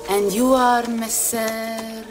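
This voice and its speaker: Slow-speaking flirtatious Italian noblewoman. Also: on the high side, flat delivery, music in the background. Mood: coy. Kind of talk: video game cutscene acting.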